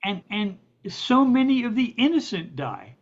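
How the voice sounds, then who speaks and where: A man's voice: high voice, big pitch swings, measured pace. A man being interviewed as a guest, explaining his views in a thoughtful way. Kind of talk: conversational interview. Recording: dull lo-fi sound.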